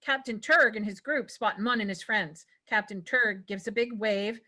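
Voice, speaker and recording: A woman's voice: medium pitch, moderate pace, bright, forceful tone. A woman narrating a screenplay, reading out scripted stage directions at a table read.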